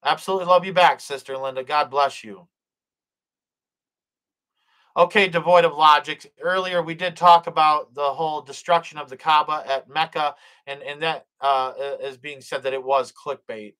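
A man's voice: a little high, fairly even intonation, moderate pace, sharp tone. A middle-aged man hosting a live stream, reading the chat aloud, blessing viewers and praying, with plenty of fillers and restarts. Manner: hesitant, many fillers and restarts.

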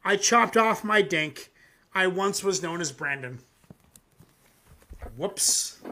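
A man's voice: sits high, expressive, slow pace, sharp tone, a little hiss or hum. A man hosting a live music reaction show, chatting loosely with plenty of swearing and reading his own comic poems aloud. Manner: loose and profane, with frequent fillers. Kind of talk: casual live-stream chat.

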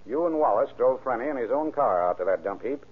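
An American actor playing a relentless, sarcastic police interrogator in a vintage radio drama. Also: male voice, mid-range voice, animated, a little fast, husky. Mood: sardonic, pressing. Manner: sarcastic, pressing. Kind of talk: 1930s radio drama acting. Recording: muffled, band-limited old radio recording.

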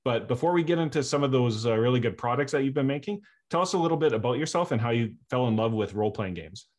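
A man hosting a podcast interview, speaking in a halting, apologetic way with many fillers. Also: medium pitch, animated, darkish tone. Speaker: podcast host.

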